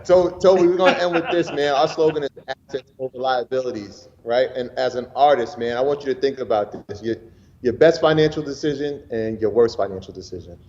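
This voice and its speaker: A man's voice: mid-range voice, fairly quick, a little mellow. A man, a podcast host wrapping up a panel, speaking in a casual, direct way over a video call. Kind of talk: moderating a panel. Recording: reverberant, dull lo-fi call audio.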